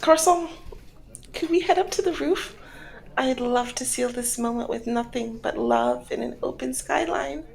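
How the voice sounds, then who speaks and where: Woman acting high, creaky-voiced flirty mob boss. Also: unhurried. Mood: gushing, excited. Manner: teasing, coy, smoothly in control. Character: flirty, teasing, commanding female mob boss. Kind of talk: ASMR roleplay, scripted one-sided dialogue.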